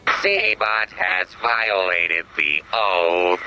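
An actor voicing a skittish little game robot with old-time gangster bluster, speaking in halting, dramatic third person. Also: male voice, sits low, bright, forceful tone, smooth, dull audio, exaggerated voice. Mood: ashamed.

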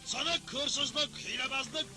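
An actor playing a pompous, theatrical crooked judge in a dubbed Western cartoon, declaiming with self-important authority. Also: male voice, extremely high pitch, steady tone, takes it slowly. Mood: bossy, official. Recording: loud background music.

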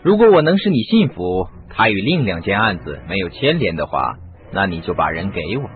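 A man's voice: sits low, sing-song, brisk, faint music behind. An actor playing a confident, persuasive male sleuth in a radio drama. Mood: assured. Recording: muffled phone-like radio recording.

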